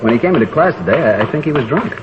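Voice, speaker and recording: A man's voice: mid-range voice, expressive, racing, natural voice. A man acting an earnest, troubled schoolteacher in a 1950s radio drama, explaining things with concern and some hesitation. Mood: concerned, hesitant. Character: troubled, earnest male high school teacher. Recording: muffled old radio recording with clear noise.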